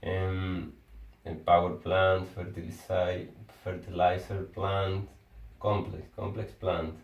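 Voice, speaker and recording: A Venezuelan man, a Spanish speaker and engineer, in casual conversation, talking haltingly and often restarting words as he searches for his phrasing. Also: low-pitched, level tone, lo-fi sound. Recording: echoey room, far from the mic.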